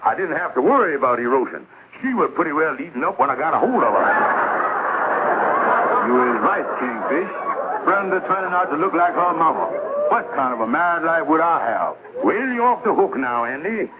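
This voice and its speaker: A tinny, noisy vintage sitcom soundtrack carries an excitable American schemer. He talks high and swoopy, in folksy, ungrammatical comic style. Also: fairly quick. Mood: wry, animated. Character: excitable, scheming middle-aged man in a radio-era sitcom. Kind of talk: 1950s American sitcom acting. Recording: scratchy, tinny old TV soundtrack, heavy noise.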